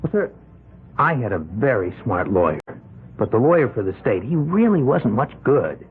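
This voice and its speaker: A man's voice: mid-range voice, sing-song, normal speed, husky. A timid, polite young man racked with guilt; stammering, wildly varied intonation, vintage radio tinniness. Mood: meek, hesitant. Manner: hesitant, polite, agitated stammering. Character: meek, nervous, deferential, guilt-ridden young man. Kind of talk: old-time radio drama acting. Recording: tinny old radio broadcast, faint noise.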